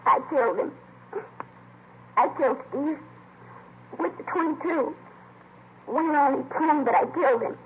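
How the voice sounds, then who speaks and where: An actor playing a guilt-stricken young boy of about nine, halting and pleading, in a vintage radio drama. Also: high-pitched. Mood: guilty, scared. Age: child character, about nine. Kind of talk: 1950s radio drama acting. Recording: tinny, noisy vintage radio recording.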